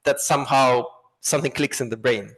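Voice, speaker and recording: A man chats as a podcast guest, talkative and loose, with phrases tumbling out one after another. His voice sits at an ordinary middle pitch. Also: a little fast, fairly bright tone, low-quality recording. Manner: self-described chatterbox, talkative and loose. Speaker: guest, app co-founder. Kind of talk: casual podcast conversation.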